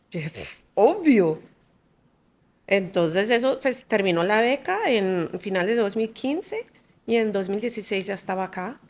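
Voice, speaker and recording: A woman from the United States in her forties whose first language is English, telling her story as a podcast guest in an animated way, laughing often and restarting her phrases. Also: on the low side, vocal fry. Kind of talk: candid conversational storytelling.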